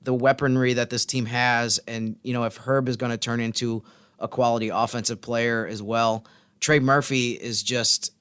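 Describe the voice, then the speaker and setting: A man's voice: medium pitch, little pitch movement, normal speed, a bit bright, smooth, no echo, clean studio sound. A man co-hosting a sports podcast, talking basketball casually like a team reporter.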